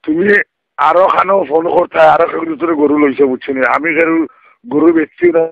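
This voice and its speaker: A man's voice: on the high side, sing-song, fairly quick, vocal fry, dry recording. A man with a Sylheti accent arguing on the phone, protesting repeatedly in an exasperated way. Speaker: call recipient. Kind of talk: phone argument. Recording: muffled lo-fi phone call, dry.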